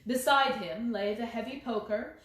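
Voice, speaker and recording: A woman's voice: on the high side, moderate pace, fairly bright tone, slight background noise. A woman reading a story aloud as scripted audiobook narration. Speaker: audiobook reader. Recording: very echoey, reverberant room.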